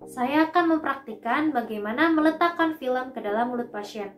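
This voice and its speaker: Young woman, fairly high voice, careful polite step-by-step instruction, student demonstrator, echoey room. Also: moderate pace. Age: young adult. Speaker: dental student demonstrating a procedure. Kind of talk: instructional demonstration, scripted narration. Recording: echoey room with music behind.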